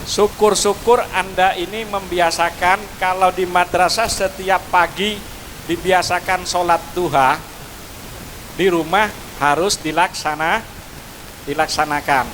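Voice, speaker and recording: Man, Madurese accent, preachy school speech, higher voice, hissy outdoor recording. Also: fairly even intonation, unhurried, a bit bright, low-quality recording. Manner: preachy, addresses listeners with Javanese honorifics. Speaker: school speaker. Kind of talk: graduation speech to parents and students.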